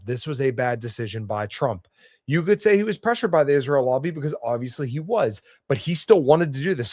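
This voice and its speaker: A slightly hoarse man hosting a solo political podcast, reading news aloud and bluntly giving his own opinions. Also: a little high, darkish tone, dry recording, studio quality. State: slightly hoarse, getting over illness. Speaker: podcast host. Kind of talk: solo political commentary livestream.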